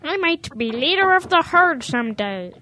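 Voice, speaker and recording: A woman's voice: sits high, sing-song, normal speed, bright, forceful tone, a little hiss or hum, heavily acted. A woman voicing a naive, eager little boy calf in a children's audio drama, childlike and innocent.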